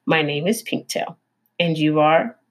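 A woman voicing a dramatic female opossum who fancies herself an actress, talking in a grand, showy way with a husky edge. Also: low voice, a little slow. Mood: wary.

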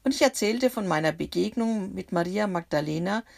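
A woman narrating a bible story for children in the first person, gentle and reflective. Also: medium pitch, lively intonation, moderate pace, vocal fry.

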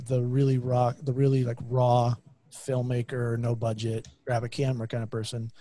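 A man's voice: medium pitch, dark tone, dry recording. Rambling male podcast host. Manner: rambling, lots of fillers and restarts. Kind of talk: casual interview.

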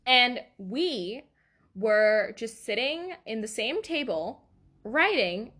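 Accented woman rambling on her podcast, kinda high voice, slow-ish, laughs at herself a lot. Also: animated, pushed and bright. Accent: non-native accent. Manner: rambling, self-deprecating, laughs often. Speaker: podcast host. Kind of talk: casual solo podcast chat.

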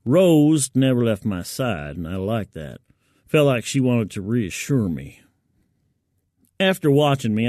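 A man's voice: mid-range voice, darkish tone, no echo, clean studio sound. A man narrating a first-person story in a colloquial, wry, folksy manner. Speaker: fiction narrator.

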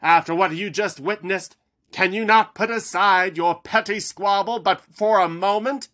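A man voicing an earnest male leader who proclaims and pleads forcefully in a storybook reading. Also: high-pitched, measured pace, voice pushed up. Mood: pleading.

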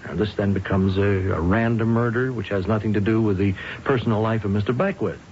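Actor as probing police lieutenant, muffled radio recording. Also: male voice, fairly low pitch, a little mellow, a little hiss or hum, close to an everyday voice. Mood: patient, measured. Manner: hesitant, polite, methodical questioning with ums and pauses. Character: unhurried, probing male police lieutenant questioning a suspect. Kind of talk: old-time radio drama acting.

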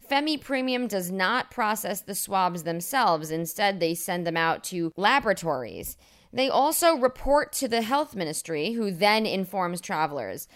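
Brisk, opinionated woman hosting a news podcast. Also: medium pitch, fairly bright tone. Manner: brisk, opinionated, chatty asides. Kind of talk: daily news podcast reading with personal asides.

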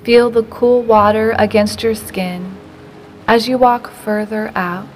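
A woman narrating a guided meditation in a slow, gentle, unhurried way, with softly breathed phrase endings. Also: a little high, steady tone, soft background music.

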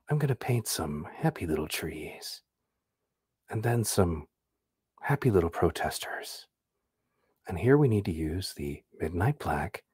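A man puts on a soothing, velvet-voiced TV painter who murmurs slowly and drops into a near whisper. The voice sits low and soft. The room is dry and close. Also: breathy edge, no echo, heavily acted. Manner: hushed, slow, half-whispered. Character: soft-spoken male painter-style radio crooner, soothing.

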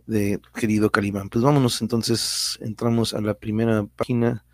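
A man reading a radio drama aloud and voicing the hero with urgent, hushed lines. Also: on the low side, flat delivery, moderate pace, no echo, studio quality.

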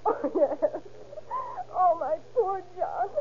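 A woman's voice: very high voice, slow. A woman playing a distraught, self-blaming, tearful wife in a melodramatic old radio drama. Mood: distraught, weeping. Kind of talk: melodramatic 1930s radio acting. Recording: noisy, tinny old radio recording.

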